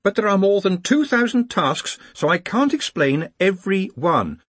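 A man's voice: high-pitched, big pitch swings, normal speed, a bit bright, dry recording, pitched above the performer's own voice, full character voice. Man acting a harried, officious young-sounding wizard organiser. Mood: exasperated explaining. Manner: officious, explaining, urgent. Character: harried, orderly wizard organiser, earnest and anxious for tidiness.

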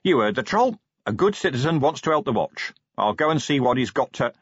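A man's voice: medium pitch, very animated, fairly quick, breathy edge, dry recording, muffled recording, voice pushed up. Man acting a weary, sardonic police commander. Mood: curt, commanding. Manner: sardonic, commanding, plain-spoken.

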